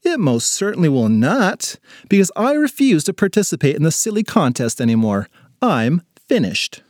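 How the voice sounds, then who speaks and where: Guy doing a bossy sarcastic fifth grade girl, dramatic, lightly raised pitch, very up and down, storybook. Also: very animated, normal speed, darkish tone, no echo, studio quality. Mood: defiant, sarcastic. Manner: exasperated, dramatic delivery.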